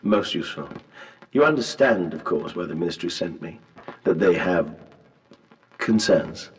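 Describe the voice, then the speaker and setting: A man's voice: deep voice, expressive, a little slow. An actor playing a polite, inquisitive Victorian professor in a video game, courteous and mannered in his speech. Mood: polite, probing. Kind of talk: scripted game voice acting, period diction. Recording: distant from the microphone.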